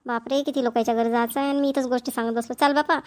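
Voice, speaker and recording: A very high-voiced cartoon village woman played by an actress chatters quickly in a Vidarbha Marathi accent. She sounds homely, practical and matter-of-fact. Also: fairly even intonation, close to an everyday voice. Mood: chatty, practical. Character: chatty, practical village women neighbours planning an engagement. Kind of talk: amateur cartoon dialogue.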